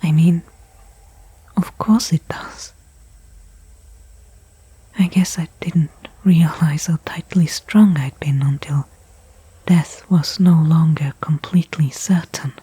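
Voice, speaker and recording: A woman playing a weary stranded astronaut in a whispered ASMR sci-fi roleplay, breathy and hushed, thinking aloud with pauses between thoughts. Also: low-pitched, exaggerated voice, rough recording. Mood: relieved. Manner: slow, soliloquizing, pausing between thoughts. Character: weary stranded female astronaut, anxious, faintly hopeful.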